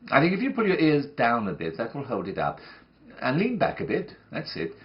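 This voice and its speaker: A man takes on the part of a genteel woman running a nursery class in a comic monologue. The delivery swings wildly up and down in pitch, brightly patient one moment and gently scolding the next, always coaxing as if to small children. The recording is a little muffled and lo-fi. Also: wildly swooping pitch, a little fast. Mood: flustered, coaxing. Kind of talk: performed comic monologue reading.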